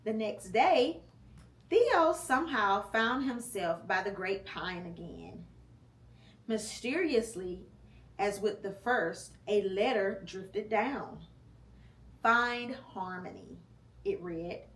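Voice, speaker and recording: A mother reading a picture book aloud to her child at home, slow and expressive with pauses between phrases. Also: mid-range voice. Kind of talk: storybook read-aloud. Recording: echoey room with faint hum.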